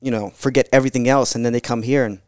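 A man's voice: mid-range voice, steady tone, racing, darkish tone, clean tone, no echo, clean studio sound. A young man from Morocco, a guest on a podcast, talking in an easygoing, agreeable way with plenty of yeahs. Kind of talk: casual interview chat.

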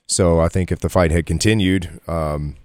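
A man's voice: sits low, fairly even intonation, normal speed, darkish tone, no echo. A man in his thirties co-hosting a casual sports radio show, laid-back and agreeable. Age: 30s.

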